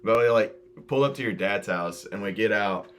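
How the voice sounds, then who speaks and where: A man's voice: lowish voice, brisk, a bit bright. A young man chatting casually, with a faint hum behind him. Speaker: podcast host. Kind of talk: casual chat.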